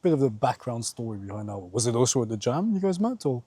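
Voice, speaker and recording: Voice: mid-range voice, very animated, fast talker, mellow, husky, dry recording. A podcast host asking questions in a casual interview, joking and teasing the guest and laughing a lot.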